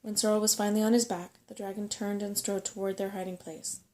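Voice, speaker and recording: A soft-spoken woman reading a bedtime story in a gentle, unhurried ASMR style. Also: medium pitch, flat delivery, a little hiss or hum. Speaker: audiobook-style reader.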